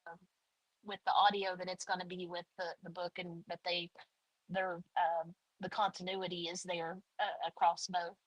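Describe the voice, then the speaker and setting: A woman's voice: fairly low pitch, level tone, moderate pace, no room sound, dull audio. A woman in her forties, an author being interviewed, talking casually with many fillers and restarts. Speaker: author guest.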